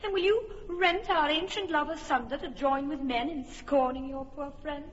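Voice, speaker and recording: A woman's voice: sits high, normal speed, big-room sound, muffled recording, natural voice. An actress playing a heartbroken, indignant young woman in a classic radio drama, with impassioned, reproachful outbursts.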